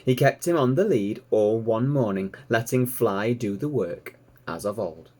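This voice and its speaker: A man narrating a children's story in an expressive read-aloud style. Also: fairly low pitch, measured pace. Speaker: storybook reader, teacher.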